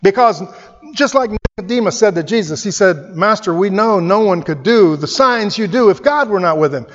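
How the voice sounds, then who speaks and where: A man delivers an emphatic sermon, stressing his points through repetition and rhetorical questions. His voice sits high for a man. The recording is muffled and lo-fi, with a long reverb trailing off the walls of a hall. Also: expressive, moderate pace. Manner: emphatic, rhetorical questions, repeats key phrases. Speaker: pastor.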